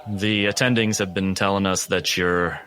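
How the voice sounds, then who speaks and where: A man's voice: deep voice, fairly even intonation, normal speed, sharp tone. A man playing a male asylum doctor in a tabletop game, falsely friendly, probing and condescending. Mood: probing politeness. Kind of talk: tabletop roleplay acting.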